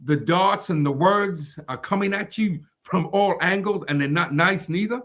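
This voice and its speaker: A man with a loud voice talking casually on a faith podcast, acting out quotes and laughing often. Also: high voice, normal speed. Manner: animated storyteller, acts out quotes, laughs often. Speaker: podcast co-host.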